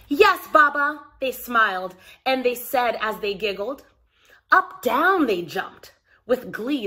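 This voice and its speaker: Lady with a highish voice doing storytime for little kids, talks right to them, explains stuff simply. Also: fairly bright tone. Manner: addresses listeners directly, simple explanations with check-in questions. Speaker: children's storytime host and storyteller. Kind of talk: children's story time, talking and reading aloud to young viewers.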